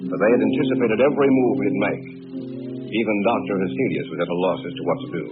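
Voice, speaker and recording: An actor playing an earnest, haunted man who narrates his supernatural ordeal in a sombre, measured vintage radio horror style. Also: fairly low pitch, animated, over music, natural voice. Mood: sombre, foreboding. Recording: tinny vintage radio recording.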